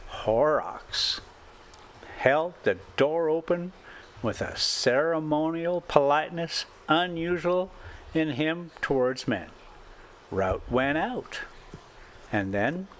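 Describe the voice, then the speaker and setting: An older man reading a classic short story aloud as an amateur storyteller, in halting, broken phrases. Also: fairly high pitch, very animated, a little hiss or hum. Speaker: storyteller and channel host.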